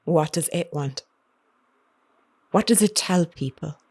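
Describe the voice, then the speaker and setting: A woman's voice: deep voice, takes it slowly, darkish tone, breathy edge, full character voice. An actress playing a grave woman reporter in an audio horror drama, questioning bluntly. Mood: shaken, probing. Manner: probing, blunt. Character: grave woman reporter confronting a doomed loved one.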